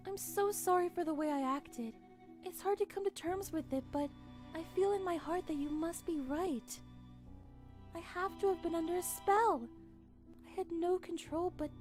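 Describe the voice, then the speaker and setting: A woman playing a gentle, earnest young princess in an animated fan drama. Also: very high-pitched. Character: gentle, earnest young princess, soft-spoken yet brave. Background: music.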